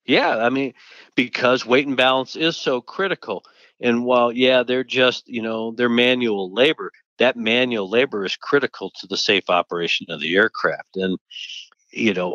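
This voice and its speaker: A man's voice: mid-range voice, a bit bright, no echo, muffled recording. A man co-hosting an aviation safety podcast, talking emphatically and pressing indignant rhetorical questions. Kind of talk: conversational podcast discussion.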